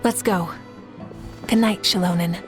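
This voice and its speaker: A woman's voice: fairly low pitch, fairly even intonation, measured pace, natural voice. An actress playing a confident, teasing woman leader in a game, speaking in a dry, self-assured way. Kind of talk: game dialogue. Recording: faint game music behind.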